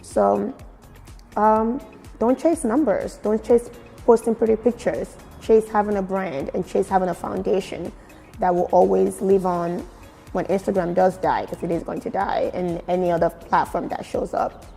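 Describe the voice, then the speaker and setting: A woman from Nigeria with a Nigerian accent mixed with British and American, giving expressive, emphatic answers in a casual interview. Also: medium pitch, moderate pace, vocal fry. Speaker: fashion blogger being interviewed. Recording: very echoey room with music. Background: music.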